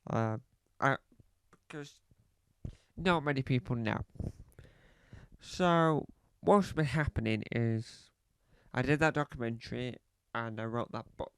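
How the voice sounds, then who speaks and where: A man's voice: mid-range voice, smooth. A man playing a rambling self-important podcaster. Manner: rambling, halting, fond of repeating phrases. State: slight cough. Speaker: comedy podcast host character. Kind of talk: scripted comedy monologue.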